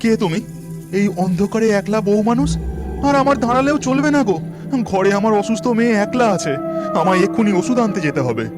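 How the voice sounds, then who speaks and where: A poor village fisherman played by a man, anxious and melodramatic, with a high, very animated voice. It is horror cartoon acting with music underneath. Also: fairly quick. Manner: fretful, melodramatic.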